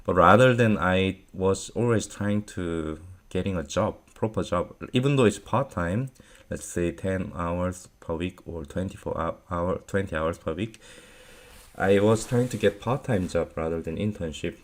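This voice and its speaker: Slow, deep-voiced Korean-born man. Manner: hesitant, many fillers, non-native grammar. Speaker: vlog host answering viewer questions. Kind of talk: unscripted Q&A advice talk.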